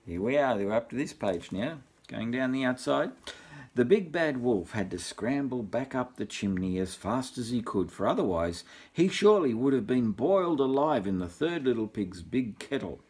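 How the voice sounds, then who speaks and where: A man's voice: medium pitch, moderate pace, husky. Older Australian man reading a bedtime story. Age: 60s or older. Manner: jokey, rambling, repeats himself with deadpan asides. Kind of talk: informal storybook reading with chatty asides.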